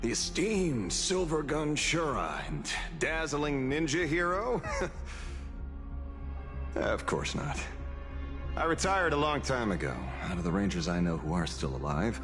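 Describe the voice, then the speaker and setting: A man voicing a sly retired ranger turned detective in a video game, wry and theatrical with unhurried confidence. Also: low-pitched, full character voice. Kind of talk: dramatic video game voice acting.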